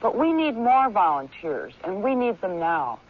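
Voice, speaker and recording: A woman reads a scripted public service announcement as a director making an appeal. Her voice is mid to high, on a muffled old radio broadcast. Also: big pitch swings, measured pace.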